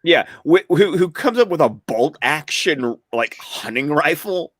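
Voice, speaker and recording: Animated man in casual commentary, dry sound. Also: a little high, unhurried, no room sound. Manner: reflective, analytical, drawing comparisons. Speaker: podcast co-host discussing cartoon episodes.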